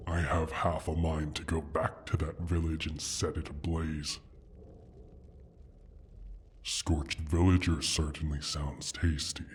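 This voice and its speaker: A man playing a proud, injured dragon in a fantasy audio roleplay, menacing and pompous, with a husky, breathy rasp. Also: sits low, slight background noise. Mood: haughty, menacing. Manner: pompous, disdainful, halting theatrical delivery.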